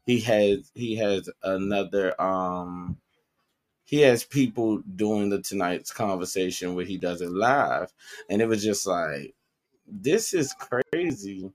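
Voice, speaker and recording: A man's voice: low-pitched, slow. A man co-hosting a podcast in casual chat, animatedly retelling what others said. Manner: animated storytelling, quoting others. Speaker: podcast co-host.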